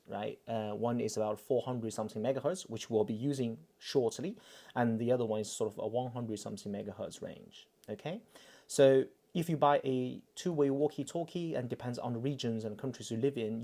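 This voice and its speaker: A man's voice: medium pitch, warm tone. A man explains a technical demonstration informally, repeating words for emphasis and often checking in with a short questioning tag. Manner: checks understanding with frequent "right?" and "okay?", repeats words for emphasis. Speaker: presenter, EMC engineer.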